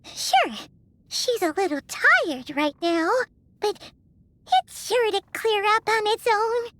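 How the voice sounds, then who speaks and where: Squeaky childlike fairy sidekick, very high-pitched, unhurried, earnest, video game cutscene. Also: female voice, sing-song, a bit bright, no room sound, heavily acted. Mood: cheerful, reassuring. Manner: refers to self in third person, earnest. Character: small childlike fairy companion, chirpy, loyal, talks in third person. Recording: music behind.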